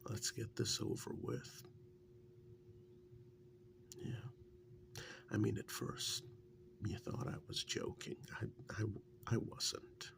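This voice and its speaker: A man playing a wry, affectionate partner reminiscing in a soft-spoken, intimate ASMR role-play, halting and musing, breathy and often slipping into a whisper. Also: background noise, close to an everyday voice. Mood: wry, reflective.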